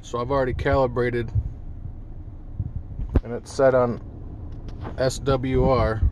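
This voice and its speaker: A man's voice: mid-range voice, little pitch movement, slow. Regular guy showing off gear in his car, folksy, mid voice, bit of background noise. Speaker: vlogger demonstrating CB radio gear. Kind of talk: casual hands-on demonstration. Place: inside a parked vehicle cab. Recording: handheld recording with car cabin noise.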